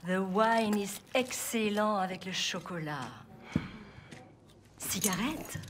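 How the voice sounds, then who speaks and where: A woman's voice: medium pitch, slow pace, background noise, lightly acted. An actress playing a worldly, playful French resistance woman in a video game, confident and maternal, with a French accent. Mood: indulgent. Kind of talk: video game cutscene acting.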